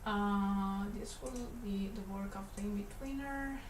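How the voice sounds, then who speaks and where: A woman from Catalonia, speaking English with a Spanish Catalan accent and Catalan as her first language. She talks in a relaxed, rambling way to viewers while she works. The voice sits at a middle pitch and sounds roomy, with a faint hum behind her. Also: measured pace. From Catalonia, Spain. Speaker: animator streaming her work. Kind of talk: casual livestream chat while drawing. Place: animation studio room.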